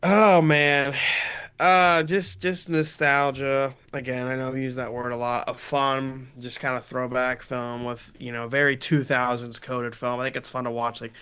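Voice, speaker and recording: A man's voice: medium pitch, little pitch movement, a little slow, pushed and bright, smooth. A man in his late twenties, a guest on a casual film podcast, talking enthusiastically in slang and often stammering over his words. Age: 20s.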